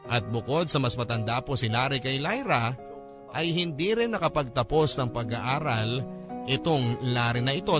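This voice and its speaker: A man with a Filipino accent narrating a radio drama in a polite, measured storytelling manner. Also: mid-range voice, lightly acted. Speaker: narrator reading a letter-writer's story. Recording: music bed under the voice.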